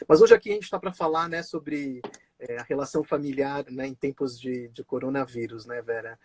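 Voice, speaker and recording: A man's voice: mid-range voice, normal speed, a little mellow, a little hiss or hum. A man born in Israel and raised in Brazil, hosting a casual live-stream conversation over a video call, chatty and full of fillers and restarts. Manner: chatty, many fillers and restarts, laughs often.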